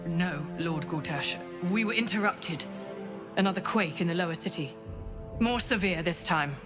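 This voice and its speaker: A woman's voice: fairly low pitch, normal speed, close to an everyday voice. A woman voicing a cowed city soldier with a seething, murderous edge, heard a little off-mic. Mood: nervous. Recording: distant sound in a game cutscene with music.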